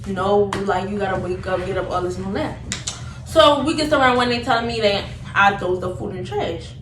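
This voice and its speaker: A woman's voice: mid-range voice, a little fast. Young woman telling a story, roomy noisy recording. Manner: animated, slangy, repetitive retelling. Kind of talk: casual storytelling chat. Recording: roomy, distant mic, noticeable background noise.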